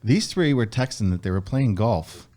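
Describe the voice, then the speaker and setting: A man's voice: fairly low pitch, very animated, moderate pace, mellow. A man hosting a podcast in a small studio, chatting casually and upbeat with friends, laughing often. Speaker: podcast host. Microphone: studio microphone.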